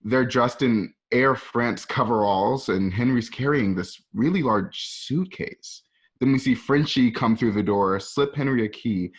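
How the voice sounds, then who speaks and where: A man's voice: fairly low pitch, breathy edge, dull audio. A man narrating scene directions informally, with casual conversational asides. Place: at home.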